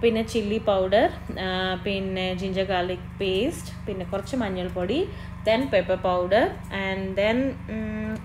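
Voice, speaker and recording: A young woman with a Malayali accent, chatting through a home cooking vlog in a kitchen and mixing English words into her speech. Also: medium pitch. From Kerala. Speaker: cooking vlogger. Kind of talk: casual cooking voice-over. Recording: noisy background.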